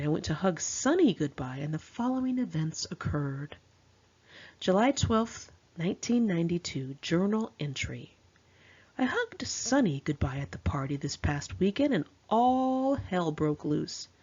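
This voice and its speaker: Animated, husky American woman reading her memoir. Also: lowish voice, a little slow, highs cut off. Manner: conversational, slangy, dramatizes quoted dialogue. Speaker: author reading own memoir. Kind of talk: informal first-person memoir narration.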